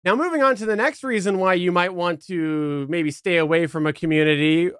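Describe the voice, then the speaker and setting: Higher-voiced man, measured podcast host. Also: fairly bright tone, clean tone, dry recording, studio quality. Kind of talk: explanatory podcast discussion.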